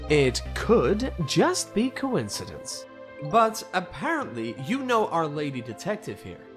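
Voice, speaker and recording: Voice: mid-range voice, wildly swooping pitch, a little slow. A performer voicing a wry, composed spirit detective in a game fan dub, with a dry, teasing, reflective manner. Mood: wry, curious. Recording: music behind the voice.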